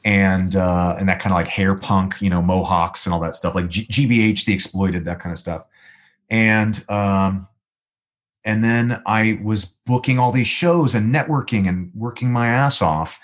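A man with a naturally low baritone voice tells a winding story, stopping and restarting his sentences often. He is a guest musician in a casual interview. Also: normal speed. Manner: rambling, animated storyteller with many restarts.

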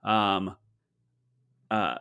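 A man's voice: low voice, very slow, creaky, pushed and bright, clear, resonant tone. A man hosting a casual sports podcast, opinionated and wry, talking with plenty of fillers. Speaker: podcast host.

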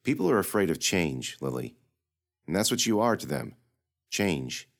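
A man voicing a gentle mentor speaking in a soothing, earnest way. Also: sits low, big pitch swings, normal speed, creaky, heavily acted.

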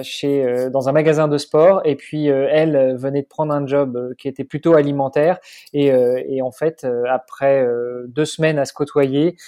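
A man's voice: fairly high pitch, warm tone. Hesitant man in his forties. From France. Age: 40s. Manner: measured, many hesitations. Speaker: podcast guest. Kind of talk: casual interview chat.

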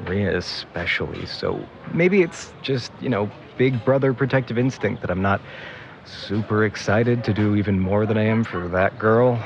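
A man's voice: on the low side, normal speed, soft background music. A young man playing a defensive, guilty big brother in an audio drama, hesitant and rambling in a naturalistic way. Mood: guilty, conflicted. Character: grumbling, guilty big brother dragged into a search. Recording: muffled, clearly noisy background ambience.

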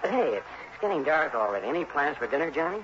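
An actor playing a harried, chummy insurance agent in an early 1960s radio drama, earnest, hurried and folksy. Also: male voice, on the high side, very animated. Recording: noisy, dull vintage radio recording.

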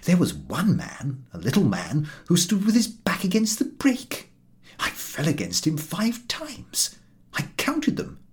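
A man's voice: on the high side, wildly swooping pitch, unhurried, voice pushed up. A man voicing a baffled, indignant Englishman airing a comic grievance in an audiobook, in an exclamatory, aggrieved way. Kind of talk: audiobook character dialogue.

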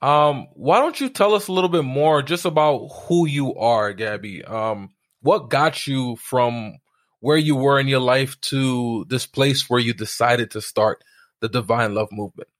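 A man's voice: mid-range voice, measured pace. A man hosting a podcast, opening upbeat and encouraging his guest with short replies. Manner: upbeat, encouraging, brief backchannels. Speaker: podcast host. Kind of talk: podcast interview.

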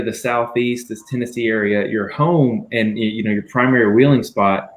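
A man's voice: medium pitch, roomy sound, poor audio. A man hosting a podcast, asking friendly, curious questions in a casual chat. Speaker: podcast host.

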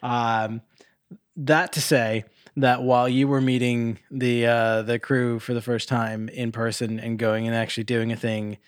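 A man's voice: medium pitch, flat delivery, unhurried, no echo, clean studio sound. A man co-hosting a podcast in casual chat, rambling along and swearing casually. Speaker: podcast co-host.

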